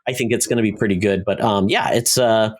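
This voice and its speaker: A man chatting casually as a podcast co-host giving reviews, in a halting, rambling way where he often interrupts himself. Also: on the low side, steady tone.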